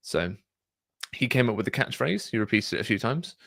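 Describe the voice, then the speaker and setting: A man co-hosting a video-call show, talking through church talks in a measured, hesitant, self-deprecating way. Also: mid-range voice, very animated, gravelly, a bit bright, no echo, studio quality. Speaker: co-host presenting slides. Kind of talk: casual reflective commentary.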